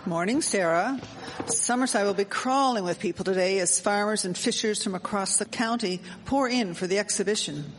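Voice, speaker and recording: A wry, outspoken 1870s townswoman played by a woman talks in formal period phrases. Her mid-pitched voice rings in a long echo with faint noise behind. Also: moderate pace, close to an everyday voice. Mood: pleasant, chatty. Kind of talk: scripted period radio drama. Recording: echoey hall, faint background noise.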